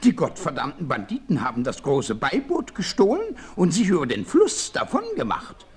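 A man's voice: a little high, huge pitch swings, normal speed, husky, full character voice. An actor playing a quirky, brave comic frontiersman with a theatrical, wordy manner in a 1960s radio play. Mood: comic pondering. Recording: slightly noisy old vinyl record.

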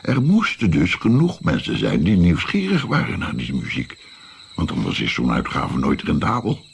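Deep, gravelly old storyteller musician. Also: male voice, normal speed, slight background noise, heavily acted. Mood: reflective. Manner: musing, hesitant, expressive. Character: older musician looking back, reflective, self-mocking narrator. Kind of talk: Dutch radio drama, storytelling in dialogue.

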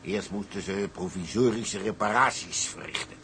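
Sea captain, radio drama: low male voice, brash, determined, emphatic, faint background noise. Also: normal speed, gravelly, fairly bright tone. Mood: businesslike. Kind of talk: Dutch radio drama acting.

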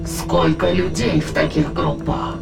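Calculating alien intruder, very gravelly, formal and probing, sci-fi audio drama over loud music. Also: male voice, on the high side, measured pace. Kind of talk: science fiction audio drama acting.

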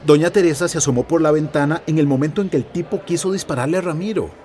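A man's voice: on the high side, fast, a little mellow, slight background noise, natural voice. An actor with a Latin American accent playing a fatherly, middle-aged police chief in a radio drama, reassuring and authoritative. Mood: troubled. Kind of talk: Spanish-language radio drama acting.

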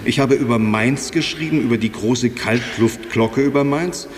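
A man's voice: mid-range voice, moderate pace. Man reciting in a reverberant hall. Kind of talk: literary first-person recitation. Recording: reverberant hall with faint noise, clicks.

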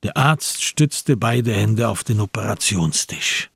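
A man narrating a crime radio play as a grave storyteller, in scripted literary prose with a husky voice. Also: fairly low pitch, animated, slow pace, a bit bright, no echo, exaggerated voice. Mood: sober.

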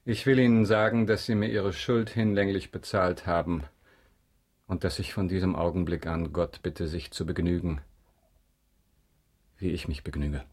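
An implacable wronged nobleman in a radio drama. His low, husky voice is grave and tightly controlled. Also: fairly even intonation, normal speed, long pauses, darkish tone. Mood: cold, solemn. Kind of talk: German radio drama acting.

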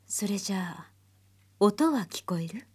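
A woman's voice: medium pitch, slightly rough. Composed, grave woman psychotherapist. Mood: calm, probing. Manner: measured, calm questioning. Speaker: voice actor. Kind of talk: radio drama acting and narration.

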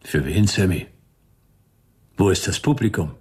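An actor playing a world-weary, sardonic private detective narrating a radio drama in a terse, laconic, gravelly voice. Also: male voice, sits low, unhurried, warm tone, exaggerated voice. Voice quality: gravelly, raspy. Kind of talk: noir first-person narration in radio drama.

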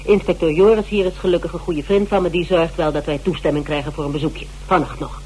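An actress from a radio drama playing a bossy, teasing amateur detective, speaking Netherlands Dutch in a pushy, bantering way. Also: female voice, sits low, fairly even intonation, fast talker, mellow, natural voice. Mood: brisk. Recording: noisy, humming radio broadcast recording.

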